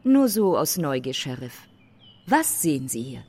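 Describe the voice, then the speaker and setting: Husky, low-voiced, teasing artist woman. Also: sing-song, unhurried, a little mellow, exaggerated voice. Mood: cool, inviting. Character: self-assured, teasing woman photographer, provocative and knowing. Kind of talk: German radio drama dialogue.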